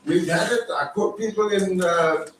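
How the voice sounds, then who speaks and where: A man's voice: sits high, normal speed. A man giving an informal account as a speaker at a meeting. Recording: very echoey room, distant, noisy.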